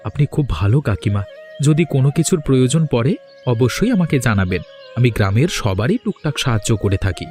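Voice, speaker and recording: A man's voice: medium pitch, very animated, a little fast, mellow, natural voice. A man voicing a polite, helpful young village boy in a cartoon, with an earnest manner. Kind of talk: Bengali cartoon voice acting. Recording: faint music behind the voice.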